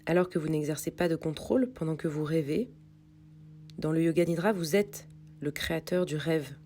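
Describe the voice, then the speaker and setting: Low, mellow woman guiding meditation. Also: slight background noise. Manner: calm, soothing, unhurried instruction with pauses. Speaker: yoga nidra meditation guide. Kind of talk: guided meditation read from a script.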